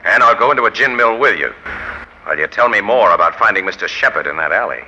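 Cynical tough-guy hero, deep voice, brusque slang, noisy old radio drama. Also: expressive, fast. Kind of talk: 1940s radio drama acting. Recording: muffled, noisy 1940s radio broadcast.

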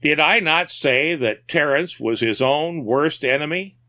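A man's voice: fairly high pitch, a little slow, bright, forceful tone, voice pushed up. A man voicing a gaunt old sea captain in a story, grave and cryptic, with archaic, folksy seafaring phrasing. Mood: solemn.

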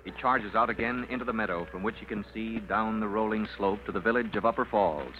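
A man's voice: lowish voice, brisk, faint music behind. A man giving dramatic, scripted narration in the style of a 1940s radio serial. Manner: dramatic, storytelling. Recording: muffled old radio recording, faint noise.

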